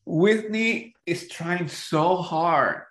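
Man, fairly high pitch, dramatic, halting and repetitive, casual co-host banter, noticeably echoey recording. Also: fairly bright tone. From Jersey City, United States. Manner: dramatic, halting, repeats himself. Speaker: podcast co-host. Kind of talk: casual reality TV recap chat.

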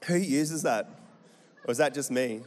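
A man's voice: medium pitch, unhurried. A middle-aged Australian man from Central Queensland, preaching a chatty, joking sermon and putting questions to the congregation. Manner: chatty, jokey, asks the crowd questions. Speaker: pastor. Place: church hall. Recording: long hall reverb.

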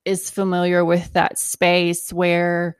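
A woman hosting a conversation, speaking gently and gratefully in a soft-spoken way. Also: fairly low pitch, completely flat delivery, slow pace, smooth, dry recording, clean studio sound. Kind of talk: reflective conversation.

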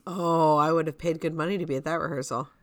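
A woman with a low-pitched voice speaks as a podcast co-host. Her delivery is casual and conversational, recorded dry with almost no room sound. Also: steady tone, quick pace, no room sound. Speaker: community theater director.

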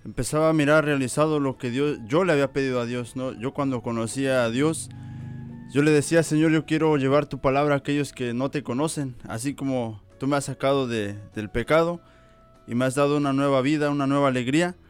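A man's voice: mid-range voice, fairly quick, smooth, faint music behind. A man from Veracruz, Mexico, giving an earnest, preacherly missionary testimony. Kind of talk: fervent testimony.

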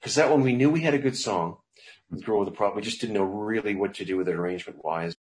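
Middle-aged male songwriter, low voice, loose rambling interview talk, relaxed. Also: fast talker, rough recording. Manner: rambling, loose, with frequent restarts and laughs. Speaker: songwriter guest.

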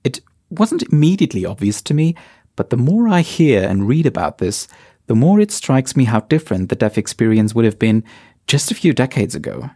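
Man narrating in a mellow voice. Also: medium pitch, very animated, normal speed, husky. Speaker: podcast host. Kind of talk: scripted narration.

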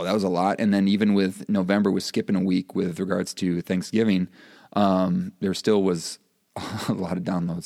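A man's voice: lowish voice, a little mellow. A man hosting a podcast in a casual, conversational way, with informal, enthusiastic affirmations. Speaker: podcast host.

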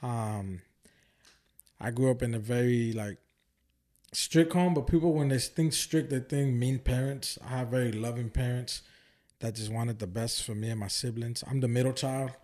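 A man's voice: medium pitch, normal speed, darkish tone. A man from Oakland, California, chatting as a podcast guest and telling personal stories in informal, slangy speech. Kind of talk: casual chat, personal storytelling.

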